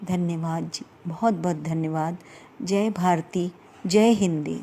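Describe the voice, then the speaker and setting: A woman reciting a poem with slow, impassioned delivery at a Hindi day event. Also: lowish voice, steady tone, mellow. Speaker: poetry reciter.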